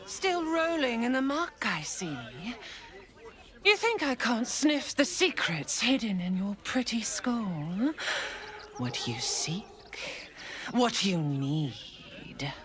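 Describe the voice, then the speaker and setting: A woman's voice: fairly high pitch, sing-song, a bit bright, faint music behind, heavily acted. A woman playing a sadistic, taunting female cultist villain in a video game, savoring her words with whispered edges. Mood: menacing taunting. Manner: menacing, slow, savoring delivery with whispered edges.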